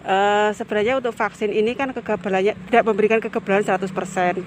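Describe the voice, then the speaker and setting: A woman's voice: medium pitch, steady tone, fast, noisy background. A woman health worker answering interview questions outdoors, explaining health advice in an instructive way and listing steps one by one. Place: outdoors at a village hall.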